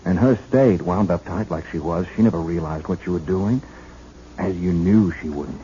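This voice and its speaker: An actor playing a shrewd, folksy detective who needles suspects in a deliberate, triumphant way, in 1970s radio drama style. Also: male voice, sits low, mellow, close to an everyday voice. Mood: triumphant, accusing. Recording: muffled, hissy 1970s radio recording.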